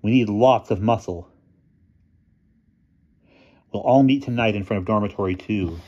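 A bold schoolboy leader from a storybook, voiced by a man, cheerful and commanding. He gives brisk orders to his pals. The sound is slightly dull. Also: on the low side, darkish tone, lightly acted.

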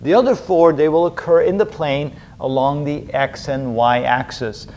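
A man's voice: a little high, normal speed, a little mellow, muffled recording. A middle-aged man lecturing at a whiteboard, explaining step by step and often repeating his phrases. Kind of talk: chalk-talk chemistry lecture at a whiteboard. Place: indoor room with a whiteboard.

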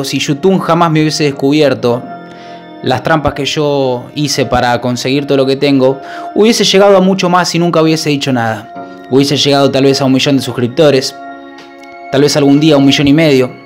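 A young man with a Rioplatense accent, a YouTuber talking in a halting, mock-solemn confession, holding a mic close in a small kitchen. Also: medium pitch, faint music behind. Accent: Rioplatense Spanish. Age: young adult. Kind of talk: mock-serious confession vlog. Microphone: handheld mic held close.

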